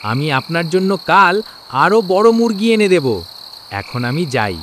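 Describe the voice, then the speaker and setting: A man voicing a sly, flattering young village thief in a cartoon. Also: high voice, moderate pace. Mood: sly, ingratiating. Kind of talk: cartoon voice acting. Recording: noisy night insects and frogs behind. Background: crickets and frogs.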